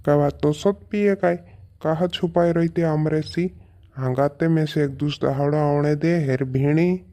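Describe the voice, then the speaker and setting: A man's voice: on the high side, fairly even intonation, moderate pace, warm tone, close to an everyday voice. A man playing a village father and head of the household in a cartoon comedy. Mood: questioning.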